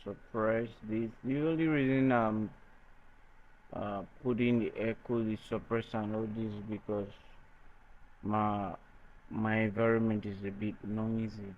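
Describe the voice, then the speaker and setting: A man presenting an unscripted software tutorial in a halting, hesitant way. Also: on the low side, steady tone. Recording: dull lo-fi microphone sound.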